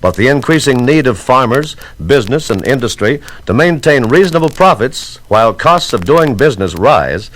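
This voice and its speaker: Animated American man narrating 1960s sales training. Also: mid-range voice, moderate pace, hardly any pauses, poor audio.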